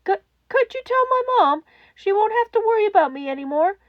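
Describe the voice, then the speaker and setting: A fragile young boy, as a woman acts him out for an audiobook, timid and despairing. The voice is high and soft, cracking as it stammers, with very lively swings of pitch in a close, dry recording. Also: no room sound, muffled recording. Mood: shaky, resigned. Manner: halting, stammering. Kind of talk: audiobook character acting.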